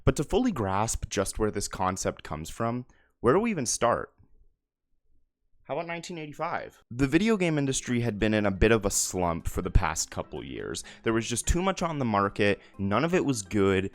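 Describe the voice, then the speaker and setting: A man's voice: fairly low pitch, sing-song, normal speed. A man narrating a video essay in an explanatory, conversational way with emphatic asides. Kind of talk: narrated video essay, semi-scripted commentary.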